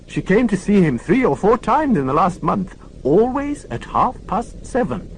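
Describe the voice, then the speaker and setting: A woman's voice: mid-range voice, very animated, normal speed, slight background noise, voice pushed up, low-quality recording. A man reading an audiobook, voicing a deaf former head nurse in her eighties who talks in a precise, lecturing way. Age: 80s.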